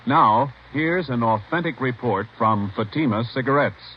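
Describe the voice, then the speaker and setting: Mid-pitched vintage radio announcer man. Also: expressive, moderate pace, breathy edge. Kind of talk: 1950s scripted radio announcing. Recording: muffled old radio recording, faint noise.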